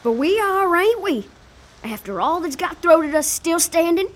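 An actress playing a warm, plucky, down-at-heel old woman with a folksy manner in a period audio drama. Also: very high voice, animated, moderate pace. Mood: gentle, curious. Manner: folksy, colloquial, affectionate.

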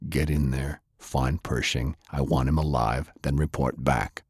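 A ruthless raid leader in an audiobook, acted by a male narrator, rumbling very low. He gives terse, hushed commands, dry and close. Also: fairly even intonation, measured pace, breathy edge, no room sound, exaggerated voice. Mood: cold, commanding. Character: ruthless, commanding villain leading armed raiders.

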